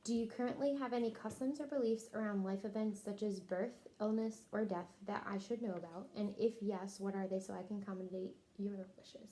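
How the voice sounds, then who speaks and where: Mellow-voiced woman doing a clinical interview. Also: mid-range voice, fairly even intonation, brisk, hardly any pauses, a little hiss or hum, poor audio. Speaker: nurse interviewer taking a health history.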